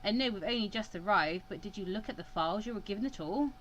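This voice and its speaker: A woman's voice: mid-range voice, animated, fast, muffled recording, lightly acted. A woman voicing a stern, impatient male mentor in a visual novel, scolding and serious. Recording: clear background noise.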